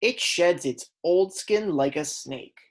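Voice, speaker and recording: A woman reading aloud as a kindergarten teacher, in a deliberate, instructional way that stresses key words. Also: lowish voice, animated. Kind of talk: read-aloud lesson for young children.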